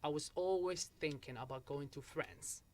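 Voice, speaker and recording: A man's voice: on the high side, moderate pace. Young Algerian man telling his story. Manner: storytelling with many restarts and repeated "so what happened?". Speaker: guest. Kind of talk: casual interview chat.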